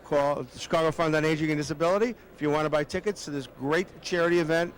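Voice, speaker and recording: A man's voice: a little high, fairly even intonation, fast talker, a little hiss or hum, rough recording. Chatty man hosting a wine tasting. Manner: jovial, chatty, with frequent stammered restarts. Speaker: host. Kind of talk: casual on-location interviews.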